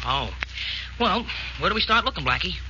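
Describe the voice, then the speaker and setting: An actor playing an eager, dim-witted detective's sidekick, naive and slangy, in 1940s radio drama style. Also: male voice, sits high, very animated, normal speed. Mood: excited. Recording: noisy, muffled old radio broadcast.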